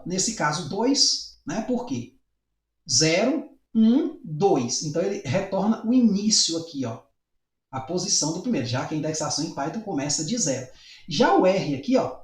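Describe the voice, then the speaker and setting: A man with a Brazilian Portuguese accent explaining programming in a casual coding tutorial, often checking in with tag questions. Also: high voice. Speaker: programming teacher. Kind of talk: screen-recorded coding tutorial. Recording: echoey room.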